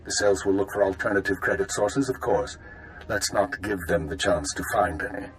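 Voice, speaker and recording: Male actor as game spymaster, lowish pitch, clipped, formal, commanding, faint noise behind. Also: normal speed, natural voice, poor audio. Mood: businesslike. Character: curt, authoritative spymaster man commanding agents. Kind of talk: video game voice acting.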